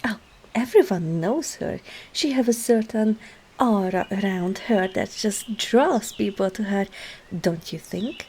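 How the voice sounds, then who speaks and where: A woman playing a sly, coaxing witch herbalist in an ASMR roleplay, speaking softly and often slipping into a whisper. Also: medium pitch, measured pace, slight background noise, close to an everyday voice. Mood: curious.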